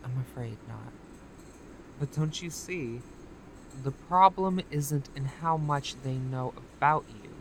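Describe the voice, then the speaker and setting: Slow, condescending actress as a smooth-talking recruiter. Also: female voice, extremely low pitch, slight background noise, low-quality recording. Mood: knowing, sympathetic. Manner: measured, condescending, deliberate. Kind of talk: audio drama acting.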